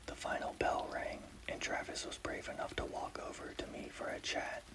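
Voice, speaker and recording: A man narrating a scary story as ASMR in a breathy, unvoiced whisper. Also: poor audio.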